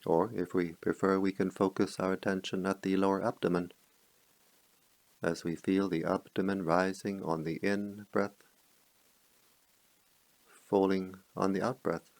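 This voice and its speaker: A middle-aged man, a meditation teacher, guiding a slow, calm meditation in a softly spoken voice with long pauses. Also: creaky, no room sound, poor audio. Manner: chants Pali verses in a low murmur. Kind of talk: guided meditation.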